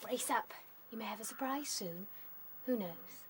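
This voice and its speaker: A woman's voice: fairly high pitch, measured pace, a little hiss or hum, close to an everyday voice. An actress playing a cheeky, mocking female rabbit in a radio play, taunting in manner.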